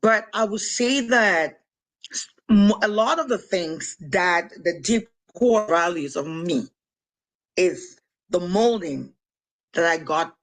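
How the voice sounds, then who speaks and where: A woman's voice: lowish voice, rough recording. A woman from Cameroon with a Cameroonian accent, a guest on a podcast, talking earnestly and reflectively with small hesitations. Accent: Cameroonian English. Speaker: podcast guest, nurse and author. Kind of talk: casual conversational interview.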